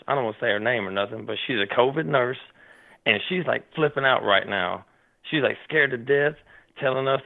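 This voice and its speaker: A man from Tennessee calling in to a talk show, his voice hoarse and raspy, talking in a rambling, animated way and saying "man" often. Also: mid-range voice. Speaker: caller. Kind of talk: casual call-in chat. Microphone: phone line. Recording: tinny phone line.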